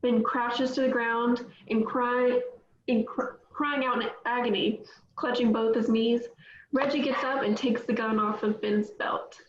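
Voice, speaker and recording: A woman reading stage directions aloud at a table read, steady and plain, with small slips. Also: fairly high pitch, measured pace. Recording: echoey, dull lo-fi audio.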